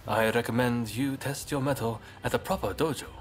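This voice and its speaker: An actor playing an affable, self-deprecating young samurai in a video game, genial and expressive. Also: male voice, mid-range voice, a little fast, natural voice. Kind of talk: video game cutscene acting. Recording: music playing behind the voice.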